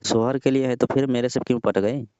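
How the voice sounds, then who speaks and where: A man on a phone call who sounds grumbling and argumentative, with a mid-pitched, dark voice. The line is muffled and dry, like a recorded phone call. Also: fairly even intonation, brisk, smooth, dry recording. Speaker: caller. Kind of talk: scripted comedy phone call.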